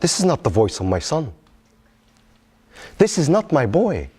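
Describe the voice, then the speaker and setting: A man's voice: on the high side, huge pitch swings, a little slow, background noise. A man performing a dramatic monologue as an anguished middle-aged Palestinian father, in Arabic-accented English, with emphatic repetition and pointed questions. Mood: controlled, bitter resolve. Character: anguished Palestinian father pleading with his vengeful son.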